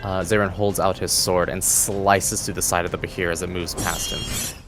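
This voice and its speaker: A man's voice: low-pitched, level tone, moderate pace. A man narrating a tabletop game as game master. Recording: faint music bed under voice. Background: faint music.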